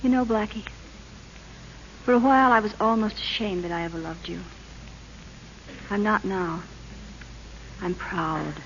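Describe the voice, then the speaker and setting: An actress playing an earnest, anxious wife in a 1940s radio melodrama. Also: female voice, medium pitch, fairly even intonation, measured pace, pauses often, close to an everyday voice. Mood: tender, earnest. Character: earnest, anxious politician's wife pleading with old flame. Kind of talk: 1940s radio drama acting. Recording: muffled, hissy old radio recording.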